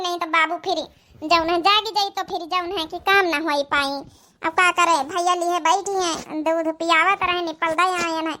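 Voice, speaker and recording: A woman's voice: very high-pitched, pushed and bright. A woman playing a caring, worried young village sister with an Awadhi accent in a family cartoon. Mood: busy, chatty. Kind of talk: family drama cartoon dialogue.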